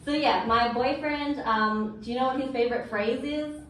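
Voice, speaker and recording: A woman's voice: sits high, moderate pace. Bubbly Australian woman in an echoey club. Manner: bubbly, self-deprecating, giggly asides. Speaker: stand-up comedian. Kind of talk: stand-up comedy set. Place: small comedy club stage indoors. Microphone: stage mic, heard from an audience camera. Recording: distant, echoey club audio with audience noise.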